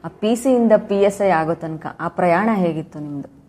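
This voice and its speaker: Low, very mellow woman interviewer. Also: normal speed. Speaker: interviewer, police sub-inspector probationer. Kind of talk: podcast interview.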